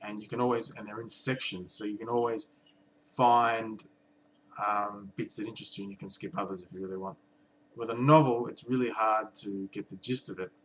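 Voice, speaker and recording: A man's voice: on the low side, little pitch movement, moderate pace, fairly bright tone, a little hiss or hum, poor audio. A man talking casually to camera about reading in foreign languages, thoughtful and hesitant with frequent ums and restarts. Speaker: language learning vlogger.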